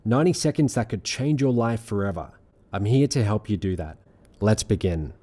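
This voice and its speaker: Persuasive male sales narrator with a bright-edged softness. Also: lowish voice, expressive, moderate pace. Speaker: presenter of an online money-making sales pitch.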